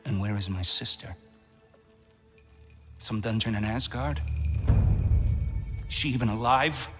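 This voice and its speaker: An actor playing a bitter, wry Norse god who leads rebels in a video game, speaking in a sardonic, deliberate way. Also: male voice, on the low side, long reverb tail, music in the background, natural voice. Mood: menacing, sardonic. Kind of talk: cinematic video game acting.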